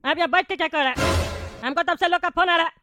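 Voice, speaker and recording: A performer voicing a loud, angry bald male politician, an indignant, threatening buffoon in a Hindi comedy cartoon. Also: extremely high pitch, quick pace, music in the background. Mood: furious, threatening. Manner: blustering, threatening, complaining.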